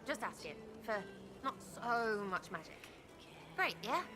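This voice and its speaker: Brash, jittery English commoner, very swingy pitch. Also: female voice, big pitch swings, a little slow, background noise. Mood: nervous, defiant. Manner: slangy, blunt, choppy phrasing. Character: brash, jittery young commoner woman, mouthy and irreverent. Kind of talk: video game character acting.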